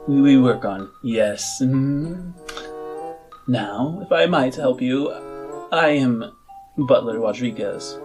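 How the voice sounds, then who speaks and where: A man's voice: mid-range voice, darkish tone. A man voicing a nervous, servile tiefling butler in a fantasy game, stammering apologetically with formal deference. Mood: flustered, apologetic.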